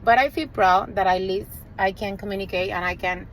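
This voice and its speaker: A woman's voice: medium pitch, a little fast, slight background noise, poor audio. A Spanish-speaking woman with a Spanish accent, speaking English as a realtor in an earnest vlog, often correcting herself and talking straight to the viewer. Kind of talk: direct-to-camera vlog.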